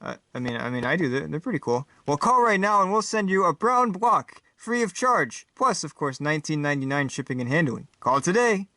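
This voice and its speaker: A man voicing a vain, eager announcer making a spoof commercial pitch. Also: expressive, a little fast, few pauses, bright, forceful tone, no room sound. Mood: vain, salesy.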